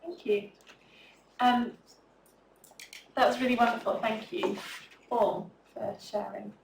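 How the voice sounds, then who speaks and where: A woman's voice: on the high side, steady tone, slow pace, vocal fry. Woman, panel moderator, hesitant, many ums, echoey room, distant mic. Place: public talk room. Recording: roomy far-off mic with faint room noise.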